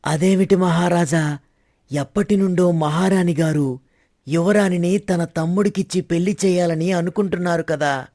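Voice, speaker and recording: A man's voice: high-pitched, normal speed, mellow. A man acting a deferential, obedient royal minister in a story drama, with respectful, formal speech. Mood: respectful, questioning. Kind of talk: scripted Telugu moral-story dramatization.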